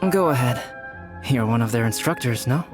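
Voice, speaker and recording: Video game alchemist, male actor: young man, mid-range pitch, measured, philosophical, melancholy, music faintly behind. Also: close to an everyday voice. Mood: polite. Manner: measured, philosophical, softly formal.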